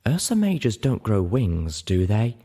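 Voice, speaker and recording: A man's voice: fairly low pitch, sing-song, a little slow, mellow, slightly rough, heavily acted. A man voicing a haughty, skittish lady pony in an audiobook. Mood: dry, uneasy.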